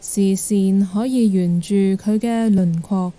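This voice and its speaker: A woman with a Hong Kong Cantonese accent guiding a meditation in a gentle, calm manner. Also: mid-range voice. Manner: gentle, calm, unhurried instruction. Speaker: guided meditation instructor. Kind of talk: guided meditation narration.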